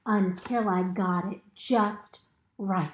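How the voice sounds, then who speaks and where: A woman reading a picture book aloud to young children in an expressive, instructive way, pausing to ask them simple questions. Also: mid-range voice. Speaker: storybook reader. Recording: roomy, echoey indoor recording.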